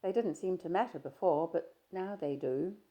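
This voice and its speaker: A woman voicing a shy, reserved young woman traveller in an audiobook, with soft, hesitant replies. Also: fairly low pitch, normal speed, voice pushed up, close to an everyday voice, low-quality recording. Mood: wistful.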